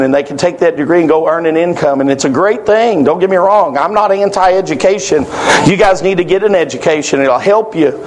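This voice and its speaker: A man in his forties with a drawl delivers a sermon in a church. His voice sits a little high for a man, and he speaks in earnest, emphatic, repeated phrases. A faint hiss runs under the recording. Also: poor audio. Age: 40s. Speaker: preacher.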